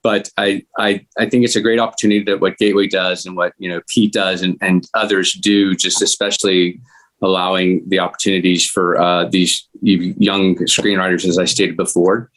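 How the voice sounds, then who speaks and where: A man's voice: sits low, flat delivery, moderate pace, a bit bright, low-quality recording. A man speaking as a guest in informal, appreciative remarks, rambling a little and encouraging. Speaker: guest actor from a table read.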